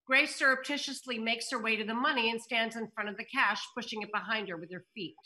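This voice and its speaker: A woman's voice: fairly high pitch, fairly even intonation, fast, bright, forceful tone. A middle-aged woman hosting a table read over video call, reading stage directions and addressing viewers. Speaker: table read narrator and host.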